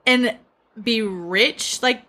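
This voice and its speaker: A woman's voice: on the high side, drawn-out words, pushed and bright. A woman co-hosting a podcast in casual, conversational book discussion. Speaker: podcast co-host.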